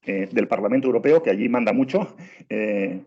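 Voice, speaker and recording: A man's voice: on the low side, moderate pace, dark tone, husky. A middle-aged man from Spain with a Spanish accent, giving a train travel training on a video call, insistent and full of restarts. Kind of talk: webinar presentation. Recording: dull lo-fi video call audio.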